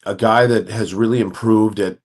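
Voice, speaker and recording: A man, a guest hockey analyst, talking through the game in a measured, analytical way with frequent self-corrections. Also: on the low side, fairly even intonation. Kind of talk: radio-style hockey talk.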